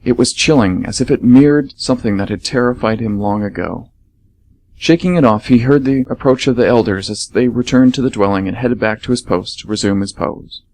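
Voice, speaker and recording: A man's voice: medium pitch, fairly quick, darkish tone, a little hiss or hum, low-quality recording. A man performing radio theatre, reading scripted story narration and announcements as a presenter.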